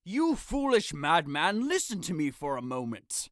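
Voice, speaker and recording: A man's voice: a little high, big pitch swings, a little slow, fairly bright tone, no room sound, studio quality. A man playing an exasperated, scolding priest in a modern-language Shakespeare audio drama, speaking emphatically. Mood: stern, reasoning.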